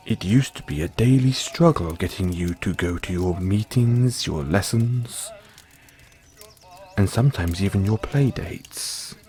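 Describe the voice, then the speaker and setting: A man with a British accent playing a formal, devoted butler who gently chides his young master in an audio roleplay. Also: lowish voice, faint music behind, lightly acted. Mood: approving, formal. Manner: formal, measured, deferential. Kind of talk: scripted roleplay, intimate second-person address.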